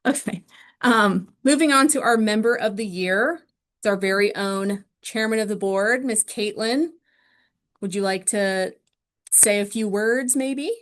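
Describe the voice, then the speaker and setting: A woman's voice: mid-range voice, a little slow, slight background noise. A woman presenting an online awards ceremony, steadily listing winners and their breeding lines with frequent ums, speaking over a video call.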